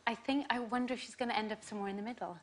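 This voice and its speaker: A young woman with a Welsh accent, chatting as a guest on a talk show, laughing easily and filling pauses with ums. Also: a little high, fairly even intonation. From Wales. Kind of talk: casual chat. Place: TV studio with audience.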